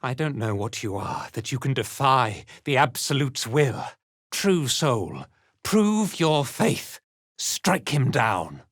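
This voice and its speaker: A man's voice: medium pitch, sing-song, slow, fairly bright tone, no echo, clean studio sound, full character voice. An actor playing a haughty, cruel male zealot commander in a fantasy game, with an imperious, contemptuous manner. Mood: commanding. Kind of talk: dramatic video game character lines.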